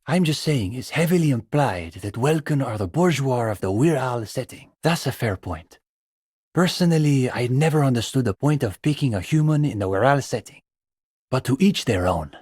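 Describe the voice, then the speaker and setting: Earnest, pretentious student radical, husky voice. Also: male voice, medium pitch, lively intonation, moderate pace, darkish tone, no room sound, clean studio sound. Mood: earnest, intellectual. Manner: bookish, opinionated, academic phrasing. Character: earnest, pretentious young male communist student intellectual. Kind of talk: video game character dialogue.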